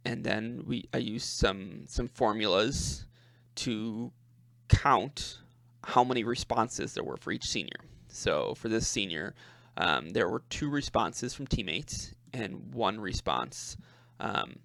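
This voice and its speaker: A man giving an informal screen-share walkthrough as a presenter, speaking haltingly and thinking aloud, often repeating his words. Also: lowish voice, vocal fry, fairly bright tone, no echo. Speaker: presenter demonstrating a personal coding project.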